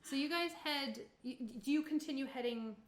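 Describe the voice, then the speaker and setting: A woman's voice: high-pitched, normal speed. A woman narrating as game master of a tabletop roleplaying game in a casual, improvised, easygoing way. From Canada. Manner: giggly, easygoing, conversational. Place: indoor room with other players. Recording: roomy, echoing room sound.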